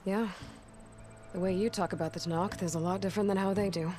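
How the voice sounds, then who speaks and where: A woman's voice: mid-range voice, fairly even intonation, quick pace, background noise, close to an everyday voice. A woman playing a determined young female adventurer in a video game, speaking in a direct, dry, guarded way. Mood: dry, curious. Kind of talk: scripted video game cutscene dialogue. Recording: music behind the voice.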